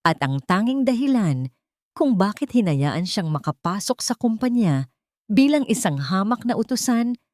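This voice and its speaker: A Filipino woman tells a dramatic story in a lowish voice. Her phrasing is measured and solemn, like scripted narration. The recording is close and dry, with no room sound. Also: darkish tone, no echo.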